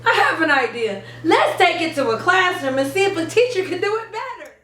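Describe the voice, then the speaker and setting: A woman hosting an instructional video for parents and teachers, speaking in an animated, emphatic, teacherly way. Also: very high voice, brisk. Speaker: host of an education channel for parents and teachers. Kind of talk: upbeat instructional video to camera. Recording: roomy, distant mic, faint background noise.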